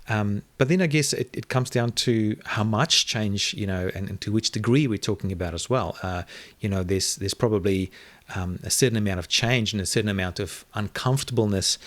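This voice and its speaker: A man whose first language is Afrikaans, musing in an interview with frequent fillers and restarts. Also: lowish voice. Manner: thoughtful, many fillers and restarts. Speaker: interview guest. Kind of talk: reflective conversational interview.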